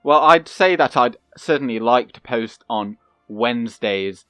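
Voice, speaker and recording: Young man, gameplay commentary, calm, soft-spoken, hesitant, smooth voice, mid pitch. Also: measured pace, no room sound, faint music behind. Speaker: gaming channel host.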